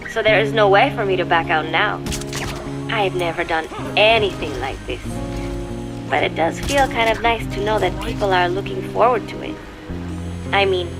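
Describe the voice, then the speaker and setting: A woman's voice: on the low side, natural voice. Wistful young female spy played by a woman, music behind. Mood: gently hopeful. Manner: measured, formal, reflective diction. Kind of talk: scripted dramatic monologue on tape.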